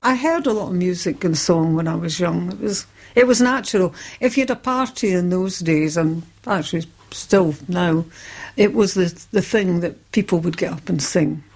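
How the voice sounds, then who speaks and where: A woman's voice: fairly low pitch, normal speed, a little mellow, slightly rough. An older woman from Scotland with a Scottish accent, a folk singer, chatting about her childhood in an anecdotal way in her living room. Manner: anecdotal, breaks into song. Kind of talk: casual interview chat.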